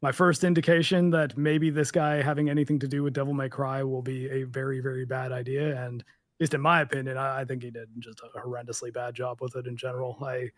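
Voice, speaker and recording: A man's voice: mid-range voice, little pitch movement, fast, no room sound, clean studio sound. A man in casual podcast chat about video games, rambling and opinionated, with many fillers and restarts. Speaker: podcast co-host.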